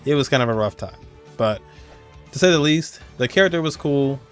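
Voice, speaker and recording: A man's voice: mid-range voice, lively intonation, a little fast, soft background music. A man giving casual, slangy, conversational commentary as host of a video game countdown. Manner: slangy, conversational, chuckling asides.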